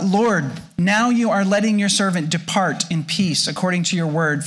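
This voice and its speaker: A man with a high voice preaches a sermon to his congregation. He explains scripture thoughtfully, often restarting and repeating words as he goes. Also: fairly bright tone. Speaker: pastor.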